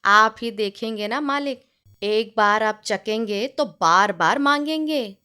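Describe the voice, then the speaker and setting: A woman's voice: fairly high pitch, takes it slowly, pushed and bright, clean tone, voice pushed down. A woman voicing a deferential household cook in a storybook tale, respectful and eager to please the master. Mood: eager, proud. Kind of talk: storybook character dialogue.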